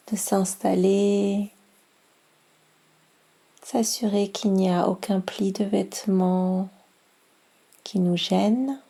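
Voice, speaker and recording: Slow, breathy woman guiding relaxation. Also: mid-range voice. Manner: slow, calm, soothing instructions with long pauses. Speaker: yoga nidra instructor and guide. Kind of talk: guided relaxation meditation.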